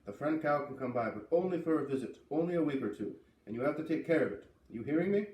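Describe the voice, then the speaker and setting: A man's voice: on the high side, expressive, fast talker, a little mellow, reverberant, distant mic, natural voice, poor audio. A man voicing a stubborn, snappish older foreign woman who owns a farm, speaking bluntly in broken English. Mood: grudging, blunt.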